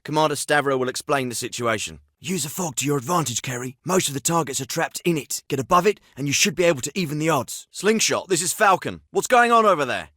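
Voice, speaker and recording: A man playing a brisk, stern special forces captain in a video game, giving clipped, authoritative orders with dry sarcasm, sometimes through a radio filter. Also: fairly high pitch, lively intonation, sharp tone, dry recording. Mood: calm, focused, then tense, urgent. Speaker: military squad commander. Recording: radio-filtered, band-limited clips with faint static.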